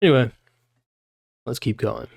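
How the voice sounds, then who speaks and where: A male livestreamer with a medium-pitched voice reads literary prose aloud. He slips between narration and loose, informal chat, with puzzled asides and casual swearing. The sound is dry, with very little room in it. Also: a little slow, creaky, a little mellow, dry recording.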